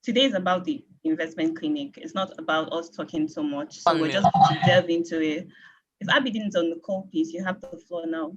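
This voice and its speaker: A woman moderating a webinar on a video call, brisk and businesslike. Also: on the low side. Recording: dull video call audio.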